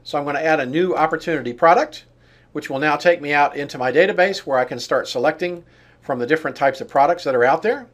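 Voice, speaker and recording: Higher-pitched man giving a software walkthrough. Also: fast. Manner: explanatory, step-by-step. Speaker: software demonstrator. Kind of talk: walkthrough tutorial narration of on-screen steps.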